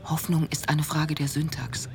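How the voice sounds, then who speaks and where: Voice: mid-range voice, steady tone, moderate pace, vocal fry, background noise, natural voice. An actor reciting scripted radio-play lines as short declarative statements.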